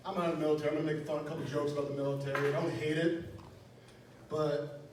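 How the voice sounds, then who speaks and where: A man's voice: a little high, level tone, very fast. A young man from Wisconsin performing a stand-up comedy set, telling crude, self-mocking jokes with a lot of "you know". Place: small club stage, echoey room. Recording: echoey room, distant mic, faint noise.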